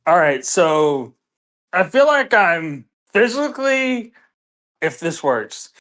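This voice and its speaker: A woman's voice: on the low side, sing-song, slow, fairly bright tone. A woman chatting casually as a player in a tabletop roleplaying game. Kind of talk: casual chat while roleplaying.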